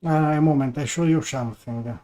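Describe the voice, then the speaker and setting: Mellow-voiced, halting game streamer. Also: mid-range voice. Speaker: live streamer. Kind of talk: casual chat while gaming. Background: game music.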